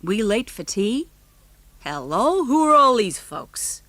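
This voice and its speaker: Burly loud American dad, brash and hearty, slightly raised pitch, voiced by a woman reading a storybook. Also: sing-song, slow pace, dry recording, heavily acted.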